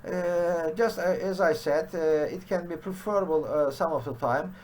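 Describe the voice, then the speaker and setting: Man, middle-aged, halting with many fillers, faint background hiss, home study webcam. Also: a little high, a little mellow. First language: Turkish. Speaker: programming teacher. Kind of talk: screen-share coding tutorial.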